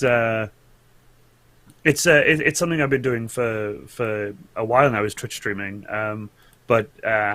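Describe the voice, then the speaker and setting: A man's voice: mid-range voice, sharp tone, no echo. Welsh man, chatty, dry close sound. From Wales. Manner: chatty, lots of restarts and fillers, laughs often. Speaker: guest cosplayer and streamer. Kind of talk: casual chat on a live show.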